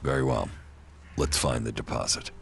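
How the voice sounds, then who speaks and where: An actor playing a stoic, brooding exiled sci-fi commander with a gravelly voice and a terse, solemn manner in a video game. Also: male voice, a bass voice, normal speed, a little hiss or hum, heavily acted. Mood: terse, calm. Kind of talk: video game cutscene acting.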